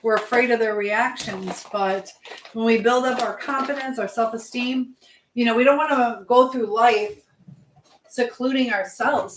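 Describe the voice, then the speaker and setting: Lady streamer chatting away while she works in the kitchen, reads out comments, highish voice, really echoey room. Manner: chatty, talking to herself while busy with tasks. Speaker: live stream host. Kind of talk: casual live chat while cooking, reading out comments. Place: indoors in a kitchen. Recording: echoey room with clear background noise.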